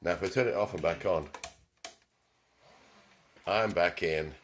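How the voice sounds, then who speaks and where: A man demonstrating a car radio in his small repair shop, talking casually, with ums and throat clearing. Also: low-pitched, a little slow, a little hiss or hum. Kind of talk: casual hands-on product demonstration. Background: car radio playing music.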